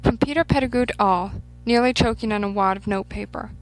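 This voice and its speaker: A woman's voice: mid-range voice, quick pace, dry recording, low-quality recording. A woman reading fiction aloud as scripted narration. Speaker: fan fiction reader.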